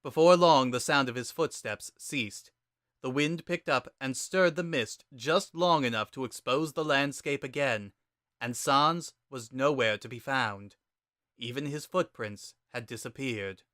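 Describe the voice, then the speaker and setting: Bright-voiced man narrating a story. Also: medium pitch, unhurried, no room sound, studio quality.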